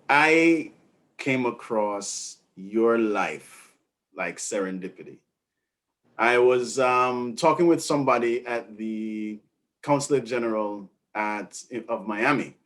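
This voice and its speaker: A man with a Jamaican accent hosting an interview, enthusiastic and animated, mixing patois into his talk. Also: fairly low pitch. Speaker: interview host. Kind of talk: conversational interview.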